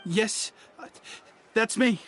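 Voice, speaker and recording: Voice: mid-range voice, slow pace, over music, natural voice. An actor voicing a timid, nervous underling in a video game, hesitant and stammering. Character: timid nervous subordinate caught out, confessing.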